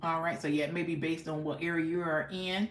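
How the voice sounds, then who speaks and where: A woman's voice: low-pitched, steady tone, fairly quick, slight background noise. An American woman hosting a casual livestream, chatting with viewers in an effusive, folksy way with repeated thanks and affirmations. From United States. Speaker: livestream host. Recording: echoey, roomy sound.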